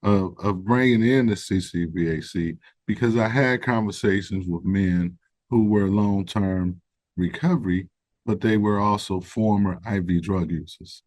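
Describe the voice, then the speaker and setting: A man speaking as an interview guest, explaining his ideas in an earnest, measured way, correcting himself as he goes. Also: low voice, a little mellow, dry recording. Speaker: guest, founder of a recovery organization. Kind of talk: conversational interview.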